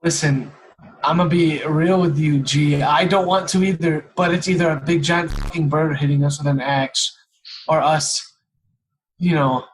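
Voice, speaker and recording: A man's voice: a little high, steady tone, unhurried, poor audio. A man chatting casually as a player in a tabletop game, blunt and slangy, with some swearing.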